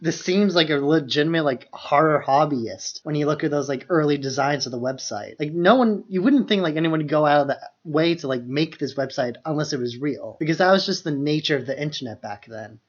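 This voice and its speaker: A man's voice: on the high side, lively intonation, brisk, hardly any pauses. A man co-hosting a podcast, chatting casually about films in very informal speech peppered with "like". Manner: informal, leans heavily on "like". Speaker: podcast co-host. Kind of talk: casual film chat. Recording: dull, lo-fi podcast audio.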